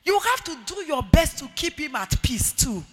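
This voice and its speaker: A middle-aged woman with a Nigerian accent and a loud, forceful voice, preaching a marriage sermon emphatically and repeating key phrases. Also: sits high, very animated, normal speed, faint music behind. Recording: echoey hall with long reverb.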